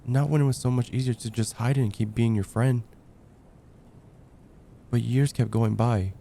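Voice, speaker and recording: A man playing a lovestruck young heartbreaker friend in an ASMR roleplay, speaking in an earnest, halting, stammering way. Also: medium pitch, fairly even intonation, vocal fry, mellow, a little hiss or hum, natural voice. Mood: regretful, vulnerable. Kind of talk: ASMR roleplay, intimate dramatic monologue.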